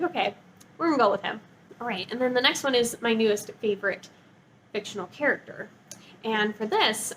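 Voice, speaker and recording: A woman with a fairly high voice talks in a bubbly, informal way, like a chatty vlogger reviewing for her viewers. She gushes freely, and there is noticeable background noise on the recording. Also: a bit bright, low-quality recording. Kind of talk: casual chatty book review.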